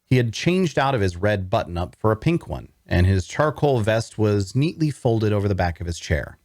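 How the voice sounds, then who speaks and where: Man playing a low-voiced, weary wounded prisoner. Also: very animated, moderate pace, darkish tone, no room sound, clean studio sound. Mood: weary narration. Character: weary, wary wounded male prisoner narrating his story. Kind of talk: audio drama table read with first-person narration.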